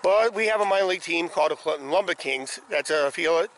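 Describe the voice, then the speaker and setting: A middle-aged man with a slightly hoarse voice, chatting casually and ramblingly as a vlog host, recorded outdoors on a handheld camera. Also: fairly high pitch, fast, a bit bright, poor audio. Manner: rambling, repeats "uh, basically". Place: outdoors, roadside and ballpark. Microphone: handheld camera at arm's length. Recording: clear outdoor traffic and ambient noise.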